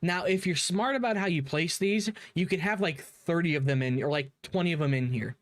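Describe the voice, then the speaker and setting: A man's voice: on the high side, brisk, fairly bright tone, no room sound. A man giving casual live commentary as a streamer, informal and emphatically repeating himself. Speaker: streamer reacting to a game video.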